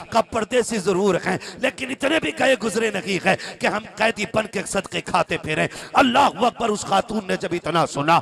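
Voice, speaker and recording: A man preaches in a high voice full of heavy rasping creak. He tells religious stories with great drama and emotion, repeating phrases for effect and acting out each exchange. His delivery sounds strained and impassioned. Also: fairly bright tone. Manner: dramatic, emotional delivery with acted-out dialogue and rhetorical repetition. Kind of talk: impassioned religious storytelling sermon.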